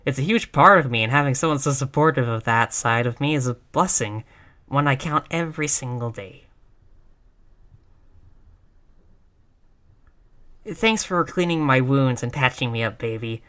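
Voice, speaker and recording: A woman's voice: a bass voice, lively intonation, a little fast, fairly bright tone. A woman playing a tough, reckless girlfriend in a roleplay audio, brash but affectionate, with casual, sweary bravado. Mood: tender, sincere. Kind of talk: intimate roleplay audio acting.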